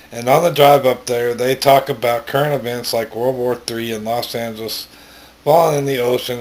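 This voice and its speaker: A man narrating a story in an amateur read-aloud style, plainly, with pauses and stumbles. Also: mid-range voice, poor audio.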